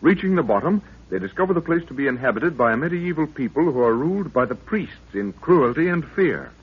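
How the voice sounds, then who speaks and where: Announcer: 1930s radio serial narrator, ominous, deliberate, rough-edged voice, thin phone-like vintage sound. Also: male voice, a little high, animated. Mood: dramatic recap. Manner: dramatic, ominous, measured storytelling. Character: solemn storyteller of an African jungle mystery serial. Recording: tinny, muffled 1930s radio recording.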